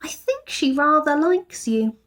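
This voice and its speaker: Young girl, performed by a woman reader: welcoming, helpful storybook chatterer, high-pitched and keen. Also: lively intonation, measured pace, fairly bright tone. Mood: reassuring. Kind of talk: children's storybook character voice.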